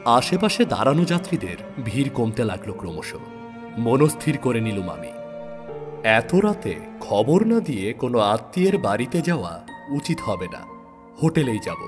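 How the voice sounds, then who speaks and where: Expressive male storyteller over background music. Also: medium pitch. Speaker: audio story narrator. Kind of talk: dramatic first-person scripted narration of a suspense story.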